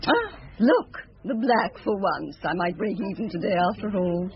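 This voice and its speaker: An actress playing a middle-aged, self-absorbed former dancer addicted to gambling, offhand and theatrical in a radio drama. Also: female voice, mid-range voice, big pitch swings, normal speed, a crowd in the background. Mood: blasé, distracted. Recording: muffled, lo-fi radio drama recording.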